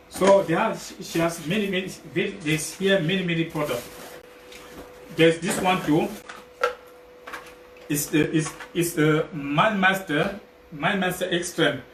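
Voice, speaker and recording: Halting Cameroonian man, echoey livestream. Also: a little high, a bit bright. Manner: halting, repetitive, rephrasing phrases several times. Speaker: health product sales partner, guest presenter. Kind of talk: informal livestream product pitch. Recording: echoey, noisy, distant livestream mic.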